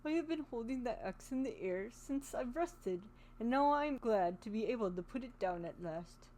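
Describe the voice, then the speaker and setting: A woman's voice: fairly high pitch, animated, moderate pace, mellow, close to an everyday voice. Woman voicing a sad, polite tin woodman. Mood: plaintive. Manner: mournful, courteous. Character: polite grateful male tin woodman, rusted stiff. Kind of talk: storybook reading.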